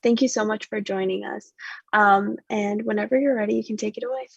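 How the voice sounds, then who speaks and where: A woman's voice: mid-range voice, steady tone, a little fast, gravelly, slightly rough, no echo, muffled recording. A woman host giving a short, friendly introduction on a video call. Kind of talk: brief show introduction.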